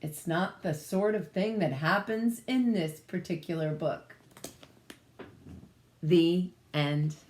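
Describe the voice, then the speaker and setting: A woman's voice: lowish voice, a little hiss or hum. A woman reading a children's story aloud expressively, adding casual asides as she goes. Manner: expressive, pausing to comment on the pictures. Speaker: picture-book reader.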